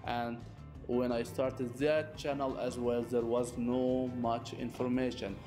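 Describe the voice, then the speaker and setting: A man whose first language is Arabic explains business tips to camera from a small office, earnest and repetitive, with small grammar slips. His voice sits at an ordinary middle pitch. Guitar music plays clearly underneath. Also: little pitch movement, slow pace. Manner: earnest, repeats phrases, non-native grammar slips. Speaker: business channel host. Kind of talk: presenting a masterclass to camera. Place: small office with lamps and chairs. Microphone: seated facing camera, medium shot.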